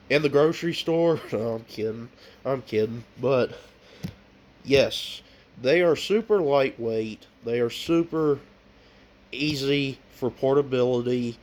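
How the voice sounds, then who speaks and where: A man's voice: mid-range voice, a little mellow. A man with a stuffy nose from a cold, chatting casually in a vlog, showing off his book collection with plenty of ums and uhs. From United States. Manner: slow and unhurried, with frequent ums and uhs.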